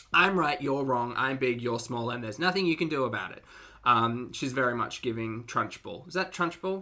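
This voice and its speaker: A male livestream host with an Australian accent and a bright, crisp-edged tone at a middling pitch. He talks casually and playfully to his viewers, sprinkling in cutesy slang as he goes.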